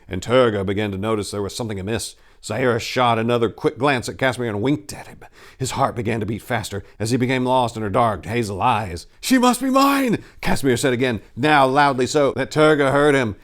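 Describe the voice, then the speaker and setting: A man's voice: lowish voice, fairly quick. A man narrating a story as host, in a dramatic, theatrical and emphatic storytelling style.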